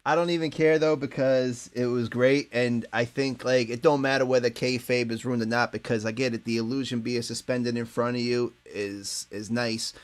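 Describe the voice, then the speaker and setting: A man's voice: medium pitch, fairly even intonation, normal speed, few pauses. A man in his late twenties hosting a casual podcast, musing in a laid-back, profane way. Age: late 20s. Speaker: podcast host. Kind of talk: casual chat.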